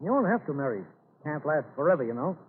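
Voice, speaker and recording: A high-pitched reassuring farmer dad, old radio. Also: male voice, very animated, fast. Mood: weary, resigned. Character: farmer father, steady, reassuring, worried in hard times. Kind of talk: 1940s radio drama acting. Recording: scratchy tinny old radio recording.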